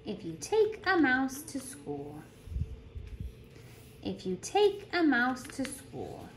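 A woman reading a picture book aloud like a teacher, telling it deliberately and putting questions to young listeners. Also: high-pitched, big pitch swings. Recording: roomy echo with clear background noise.

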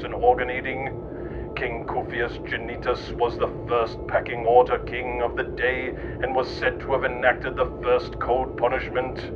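Guy reading joke history all pompous and theatrical, pretty even pitch, noisy rumbly audio. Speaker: narrator and writer. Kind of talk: scripted comedic mock-history narration. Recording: muffled with clear rumbling noise.

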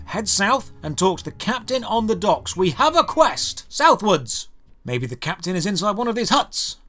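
A man giving scripted, comedic game review narration in a sardonic, exasperated manner. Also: sits high. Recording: music behind the voice. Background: background music.

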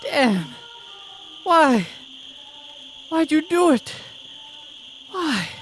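A man's voice: extremely high pitch, very animated, very slow, a bit bright, slight background noise, heavily acted. A man voicing a haunted, anguished young man narrating his nightmare in a horror manga dub. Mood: distressed. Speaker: voice actor and narrator.

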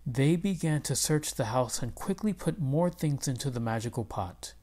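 A woman reading a children's picture book aloud as expressive narration. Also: extremely low pitch, moderate pace. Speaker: storybook reader.

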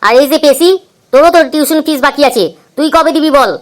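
Woman doing fed-up cartoon tuition teacher, high voice, always scolding and moaning. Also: fast talker, lightly acted. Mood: demanding. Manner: scolding, complaining. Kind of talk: cartoon comedy voice acting.

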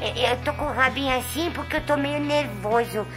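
A woman's voice: high-pitched, moderate pace, over music, lightly acted. A woman with a Brazilian accent voicing a chatty, nervous, affectionate young male house cat in a pet vlog. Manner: sweet, coy, chatty to viewers.

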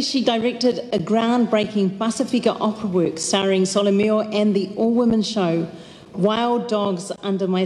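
A woman reading a formal award introduction at a lectern microphone on a hall stage. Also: medium pitch. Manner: formal, building to an excited announcement. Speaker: award presenter. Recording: hall reverb with faint room noise.

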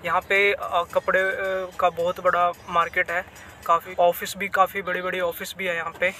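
A man's voice: high-pitched, level tone, moderate pace, bright, forceful tone. A young man from Bihar, chatting casually as a travel vlogger on a phone held at arm's length, repeating himself and mixing in English words. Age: young adult. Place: outdoors in the city, then inside a moving car. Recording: city traffic noise behind.

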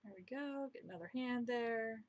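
A young woman casually commenting on her own drawing as she works, chatty and self-deprecating, with quiet muttered asides, recorded at home on a desk mic. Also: on the high side, moderate pace. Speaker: drawing vlogger. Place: home room, indoors.

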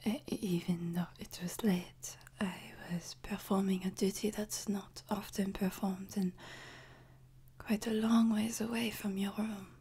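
A woman playing a soothing, devoted French maid in a whispered ASMR sleep-aid roleplay, with a French accent and a soft, breathy delivery. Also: medium pitch, level tone, a little slow. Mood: apologetic, deferential. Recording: noticeable background noise, ambience.

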